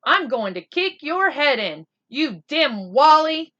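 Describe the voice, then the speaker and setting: An indignant young boy from a children's storybook, acted by a woman reader, exasperated and emphatic. The pitch is high and swings widely, on a slightly dull recording. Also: very animated, slow pace, a bit bright, heavily acted. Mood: furious. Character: angry, indignant young boy accusing his friend. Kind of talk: children's storybook read-aloud.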